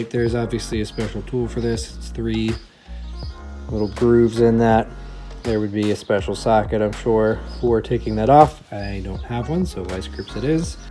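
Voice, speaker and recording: A man giving a casual hands-on tutorial, thinking aloud informally as he works as a DIY repair host. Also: lowish voice.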